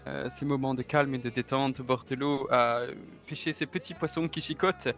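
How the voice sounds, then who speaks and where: A man's voice: medium pitch, a little fast, a bit bright, close to an everyday voice. A man putting on a formal German-accented real estate agent. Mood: chatty, courteous. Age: 30s. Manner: formal, hesitant, drops German interjections. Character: 33-year-old German man, suave real estate agent and party lover.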